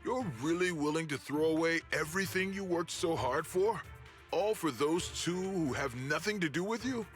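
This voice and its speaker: A man's voice: on the high side, animated, moderate pace, faint music behind, close to an everyday voice. An actor voicing a scheming, arrogant male corporate enforcer who taunts and threatens in a game cutscene. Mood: threatening. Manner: mocking, taunting.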